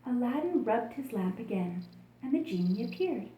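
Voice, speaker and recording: Female children's storyteller, soft warm tone, expressive deliberate reading, echoey room sound. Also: a little high, slight background noise. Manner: expressive, deliberate storytelling addressed to young listeners.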